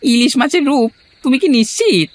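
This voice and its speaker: A man's voice: extremely high pitch, lively intonation, normal speed. A man playing an appreciative, inquisitive villager in a scripted cartoon. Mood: amazed, questioning.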